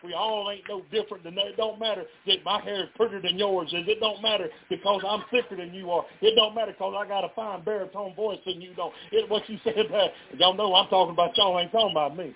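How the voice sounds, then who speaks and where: A man's voice: sits high, fairly even intonation, fast talker, hardly any pauses. A Southern-accented man preaching a fervent, folksy revival sermon to a live congregation, calling out to the crowd. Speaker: pastor. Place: revival tent near a residential street. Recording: tinny phone-line live stream.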